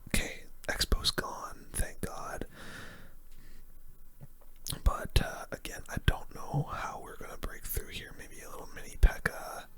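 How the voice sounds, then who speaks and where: A person giving casual, slangy, mildly grumbling gaming commentary in a breathy ASMR whisper. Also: a little slow, a bit bright. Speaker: gaming video host.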